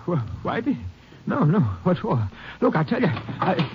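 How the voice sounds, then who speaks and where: An actor playing a nervous, evasive drifter with a husky voice and stammering, defensive, folksy speech in a 1950s radio drama. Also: male voice, high-pitched, big pitch swings, measured pace, background noise. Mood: nervous, pleading. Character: nervous, evasive drifter hobo, petty thief under questioning. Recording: muffled old-time radio recording.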